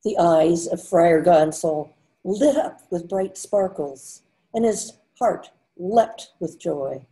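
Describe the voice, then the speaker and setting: An older woman narrating a readers theater story in a measured way over a video call. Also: on the low side, animated, warm tone, low-quality recording. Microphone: video call webcam.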